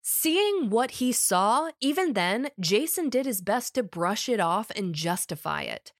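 A woman's voice: on the high side, big pitch swings, unhurried, no room sound, studio quality. A woman podcast host with a summer cold, reading a scripted true crime story in a wry, conversational way.

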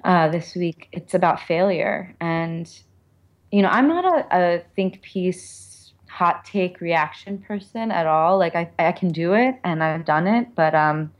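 A woman's voice: on the low side, animated, unhurried, dull audio. A woman author being interviewed, speaking candidly and informally in a reflective way, with lots of "like" and "you know".

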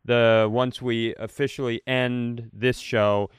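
A man's voice: mid-range voice, flat delivery, slow pace, clear, resonant tone, no room sound, studio quality. A man co-hosting a comedy podcast, riffing and telling stories in a casual, playful way. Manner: riffing, storytelling, playful bits. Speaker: podcast co-host.

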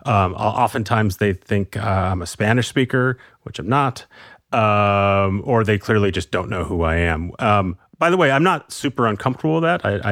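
Low-voiced skeptical male interviewer. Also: a bit bright, no room sound, clean studio sound. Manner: skeptical, conversational. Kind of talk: interview questions.

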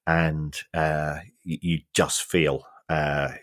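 A man co-hosting a football finance podcast, explaining things in a halting way with many restarts and dry humour. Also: rumbling low, level tone. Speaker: football finance expert co-host. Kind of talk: casual podcast discussion.

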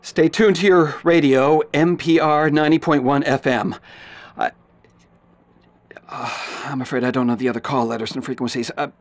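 A man's voice: medium pitch, steady tone, normal speed, natural voice. A man playing a nervous, flustered public radio announcer in a horror radio drama, stammering and hesitant.

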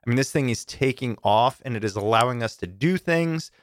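A male podcast host talking in a chatty, enthusiastic way. His voice sounds a bit deeper and rougher than usual from campfire smoke. The sound is dry and close. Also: lively intonation, fairly quick, no echo. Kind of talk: casual podcast intro.

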